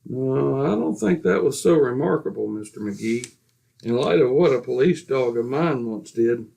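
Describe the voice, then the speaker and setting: A man's voice: medium pitch, expressive, moderate pace, gravelly, warm tone, rough recording. A man playing a genteel, smug society neighbor in an old-time radio comedy, formal and politely superior. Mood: smugly polite.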